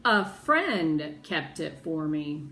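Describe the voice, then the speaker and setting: A woman's voice: deep voice, very animated, very slow, sharp tone, full character voice. A woman voicing a tough, blunt street woman from a children's novel, speaking emphatically. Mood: blunt, insistent. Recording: roomy echo with background noise.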